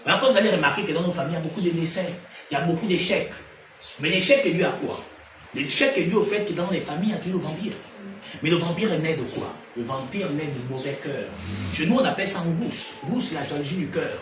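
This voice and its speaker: A man from Gabon with a Gabonese French accent, preaching a sermon. He asks rhetorical questions and swings between loud and hushed delivery. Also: a little high, fast. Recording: echoey room, distant mic, noisy.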